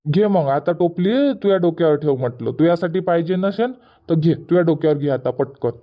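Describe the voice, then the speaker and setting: Cartoon village boy, played by a man: high, whiny, stubborn, Varhadi Marathi accent, muffled lo-fi recording. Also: brisk, close to an everyday voice. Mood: bargaining. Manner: whiny, argumentative. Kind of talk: cartoon comedy dialogue. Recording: dull, muffled lo-fi audio.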